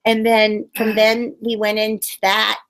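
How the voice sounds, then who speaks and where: A middle-aged woman puppeteer telling stories in a casual interview, bubbly and animated, acting out quotes in high voices. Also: measured pace.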